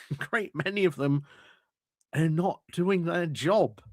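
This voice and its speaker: A man's voice: a little high, slow, dry recording. A man giving casual, emphatic political commentary as a host, often repeating points for stress. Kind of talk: casual political commentary over a played clip.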